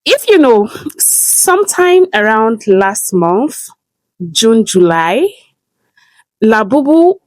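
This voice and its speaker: Slow-speaking woman with a heavy stammer. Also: on the high side, sing-song, darkish tone, no echo, poor audio. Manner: heavy stammer with repeated sounds and blocks; earnest, speaks directly to a viewer by name. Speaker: content creator. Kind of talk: casual vlog advice.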